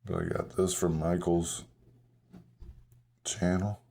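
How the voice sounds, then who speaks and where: Hoarse male vlogger, very low, loose self-correcting chat. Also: little pitch movement, slow pace, warm tone. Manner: rambling, self-correcting, chuckles at himself. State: hoarse, partly lost voice. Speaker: coin-collecting vlogger. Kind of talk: casual show-and-tell vlog.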